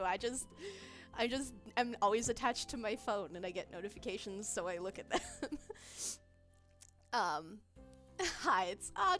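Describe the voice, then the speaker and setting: A woman's voice: a little high, pushed and bright. A young woman streamer chatting casually with her chat in a giggly, rambling way, full of fillers. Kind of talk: casual livestream chat, reading chat. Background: faint background music.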